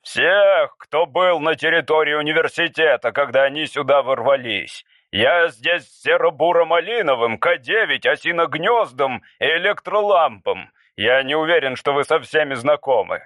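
A man's voice: on the high side, animated, normal speed, few pauses, bright, forceful tone, no room sound, clean studio sound. A man voicing a male university director who speaks like a seasoned orator. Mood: commanding. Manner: oratorical, commanding.

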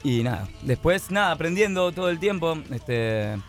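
A man in his late twenties with an Argentine accent, chatting casually and haltingly as an interviewed band singer, with a self-effacing manner. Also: fairly high pitch, very animated, soft background music. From San Andrés de Giles, Argentina. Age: late 20s. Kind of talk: casual chat.

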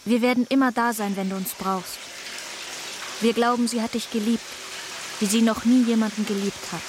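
An actress playing a blunt, worried woman who looks after a broken man, speaking directly in a radio drama with loud music behind her. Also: on the high side, fairly even intonation, normal speed, background noise, lightly acted. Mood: earnest.

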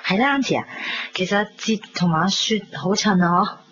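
A woman's voice: medium pitch, expressive, normal speed, a bit bright, lightly acted. Actress as a bubbly young Cantonese woman, muffled sound. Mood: excited, coaxing. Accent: Hong Kong Cantonese. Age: young adult. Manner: chatty, gushing, playful. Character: bubbly, loyal young woman, teasing best friend. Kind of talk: 1990s Hong Kong radio drama acting. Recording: dull 1990s radio recording, background noise.

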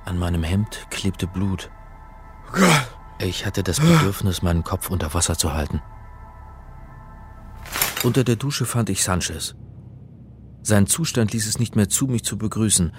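An actor playing a hapless, wry crime hero who narrates his own story in a radio play. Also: sits low.

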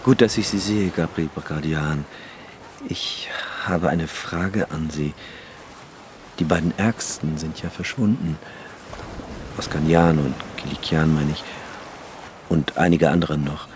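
An actor in a German radio drama playing a grave, dutiful spiritual leader who speaks formally and deliberately. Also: male voice, extremely low pitch, a little hiss or hum. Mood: grave, probing.

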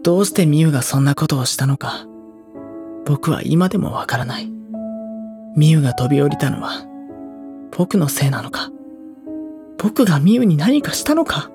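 A woman voicing a gentle, introspective, anguished teenage schoolboy in a Japanese audio drama, speaking softly and hesitantly as he narrates. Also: sits low, lively intonation, normal speed, long pauses, over music. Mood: sorrowful, brooding. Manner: soft-spoken, hesitant, brooding. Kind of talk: Japanese audio drama acting with first-person monologue.

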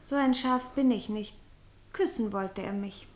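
An actress playing a naive, pious young girl in a radio drama, artless and earnest with a quiet defiance. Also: mid-range voice, a little slow, lightly acted. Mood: protesting. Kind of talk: theatrical radio drama acting.

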